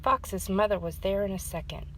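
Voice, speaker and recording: A woman's voice: medium pitch, normal speed, fairly bright tone, a little hiss or hum, rough recording. A woman hosting story time, reading a children's storybook aloud as narrator.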